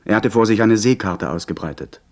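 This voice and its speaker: A man's voice: on the low side, lively intonation, fairly quick, lightly acted. A man playing an earnest narrator who recounts a sea adventure in scripted radio play style. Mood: measured.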